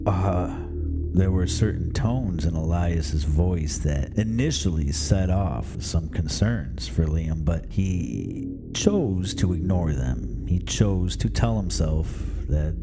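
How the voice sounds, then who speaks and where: A man hosting and telling a horror story in a measured, suspenseful style with dramatic pauses. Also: low-pitched, lively intonation. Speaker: host and storyteller. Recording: music behind the voice.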